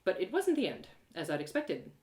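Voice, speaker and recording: A woman narrating a first-person story in an animated, theatrical way, with dramatic pauses. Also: lowish voice, roomy sound. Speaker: storyteller performing an audio drama live.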